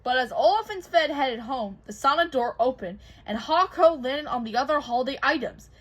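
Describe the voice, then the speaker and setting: A woman co-hosts a read-aloud of a children's story. Her voice is very high and moves up and down a lot as she narrates expressively. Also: animated, normal speed, fairly bright tone, muffled recording. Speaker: co-host reading a picture book aloud.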